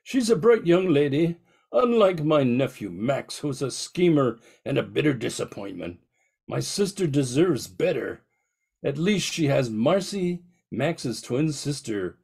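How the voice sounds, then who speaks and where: An older man playing a gruff, pompous, wealthy industrialist in an amateur radio mystery. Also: mid-range voice, sing-song, measured pace, a little mellow, heavily acted. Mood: rueful. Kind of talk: amateur old-time radio mystery acting.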